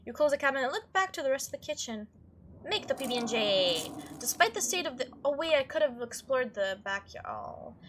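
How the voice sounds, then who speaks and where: A woman's voice: sits high, sing-song, fairly quick, a bit bright, a little hiss or hum. A woman hosting a gaming playthrough, chatting and reacting aloud as she reads the game's text. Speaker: let's play host. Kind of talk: gaming commentary mixed with reading visual novel text aloud.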